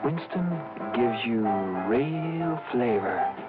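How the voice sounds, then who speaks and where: A male commercial announcer speaks in 1950s radio style. His medium-pitched voice sits among loud music. The recording is thin and narrow-band. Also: animated, drawn-out words. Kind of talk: 1950s radio cigarette advertising. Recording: tinny old radio broadcast over loud music.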